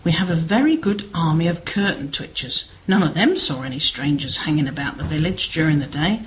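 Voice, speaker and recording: A woman plays a chatty, concerned village woman in an amateur radio drama. Her voice sits slightly low and swings widely in pitch as she gossips and persuades. A clear layer of background noise runs underneath. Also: animated, a little fast, low-quality recording. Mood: confiding, worried. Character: Martha, chatty, concerned village woman, sister seeking help. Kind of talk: amateur radio play acting.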